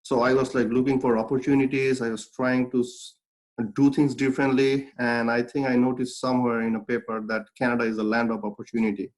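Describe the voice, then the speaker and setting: A young man from Bangladesh who grew up speaking Bengali talks through his life story as an interview guest. His voice sits at an ordinary middle pitch, and his delivery is earnest and reflective, full of fillers and restarts. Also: flat delivery, a little fast, rough recording. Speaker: guest, clothing brand founder.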